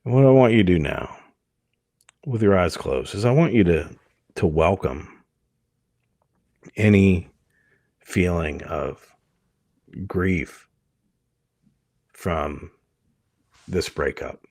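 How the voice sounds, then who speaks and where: Low, husky man guiding a meditation. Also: expressive, dry recording, clean studio sound. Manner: soothing, gentle, permissive, with many pauses between short phrases. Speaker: hypnotist leading a guided meditation. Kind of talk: guided meditation, hypnosis.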